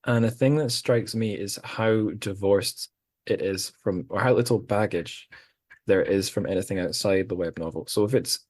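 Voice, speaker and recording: A man hosting a podcast, musing in a relaxed group conversation with thoughtful, rambling asides. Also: on the low side, normal speed, no room sound. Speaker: podcast host.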